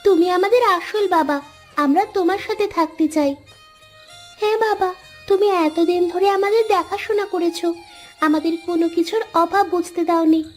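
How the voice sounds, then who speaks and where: A woman's voice: extremely high pitch, moderate pace, faint music behind. A woman voicing an earnest, pleading twelve-year-old village girl, a devoted daughter in a cartoon. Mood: earnest, resolute. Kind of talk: Bengali cartoon voice acting.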